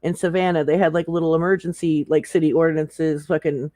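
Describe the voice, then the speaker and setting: Co-commentator, medium pitch, dry recording, blunt casual swearing chat. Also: steady tone, brisk, darkish tone, no room sound. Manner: profane, blunt. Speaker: livestream co-commentator.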